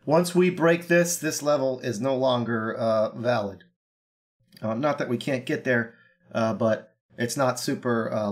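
A young man with a slightly scratchy voice from a cold, talking through a casual market analysis in a brash, joking way with lots of ums and uhs, recorded on a webcam in a small room. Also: medium pitch. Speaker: crypto trading analyst.

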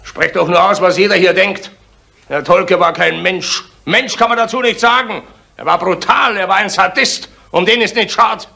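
An actor playing a blunt, conspiratorial villager in a 1970s radio crime drama, gravelly and insistent. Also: male voice, sits high, moderate pace, bright, forceful tone, exaggerated voice. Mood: indignant. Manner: insistent, emphatic.

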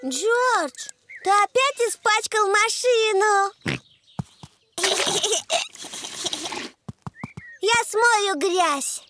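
Very high-voiced little girl piglet. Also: expressive, takes it slowly, exaggerated voice. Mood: childlike, earnest. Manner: childlike, eager. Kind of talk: children's cartoon dub. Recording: loud background music under dialogue.

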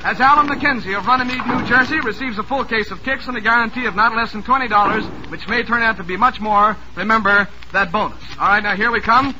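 A man's voice: sits high, fast, few pauses. A man hosting a 1940s radio music quiz, jovial and teasing as he reads out listeners' questions. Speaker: quiz show host. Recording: noisy old radio broadcast.

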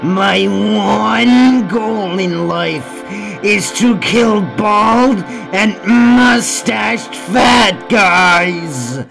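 A woman plays a deranged cartoon convict who drags out each word very slowly. The inmate is menacing and obsessive, with music underneath. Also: fairly low pitch, creaky, pushed and bright, heavily acted. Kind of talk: comic dub voice acting.

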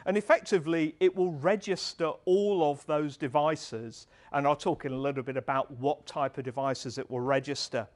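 Middle-aged male presenter, a touch high-pitched, conversational and earnest on a handheld mic in a large event hall. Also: steady tone, moderate pace, few pauses, darkish tone. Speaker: speaker at a tech meetup. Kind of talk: conference talk.

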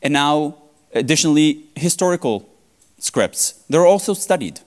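A man's voice: fairly high pitch, moderate pace. A man in his thirties, a native Hebrew speaker, giving a playful technical talk in a large conference hall on a headset mic. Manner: playful, joking, animated with hand gestures.

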